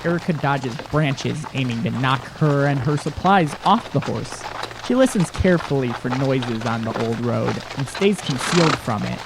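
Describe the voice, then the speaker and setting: A man's voice: medium pitch, expressive, normal speed, noisy background. A man reading scripted dramatic narration as the narrator of an audio drama.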